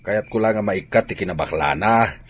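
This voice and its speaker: A man's voice: lowish voice, normal speed, slightly rough. A man playing a harsh, scornful man with a Filipino accent in a radio drama. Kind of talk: Ilocano radio drama acting.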